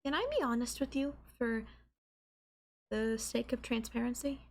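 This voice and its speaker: A woman's voice: on the high side, steady tone, normal speed, lightly acted. Coy, teasing young girlfriend played by a woman. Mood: coy confiding. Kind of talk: intimate anime-style audio roleplay.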